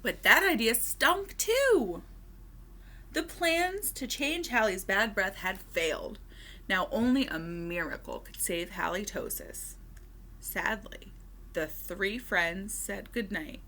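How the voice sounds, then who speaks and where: Animated woman reading a children's story. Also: medium pitch, slow pace, a little hiss or hum. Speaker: teacher reading a story aloud. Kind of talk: expressive children's storybook read-aloud.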